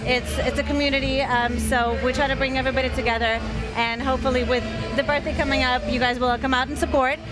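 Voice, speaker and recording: A young woman from Latvia chats in a friendly, halting way, with fillers between her words. Her voice sits high. She speaks into a handheld mic held by the host, with club music playing behind her. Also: little pitch movement, a loud crowd, low-quality recording. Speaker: interview guest, salsa dancer. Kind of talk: casual chat. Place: outdoor patio of a music venue at night.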